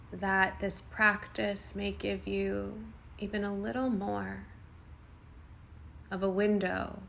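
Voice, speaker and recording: A woman guiding a yoga nidra meditation in a soothing, unhurried way, speaking in short phrases with long pauses. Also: medium pitch, creaky, a bit bright, slight background noise. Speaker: meditation guide.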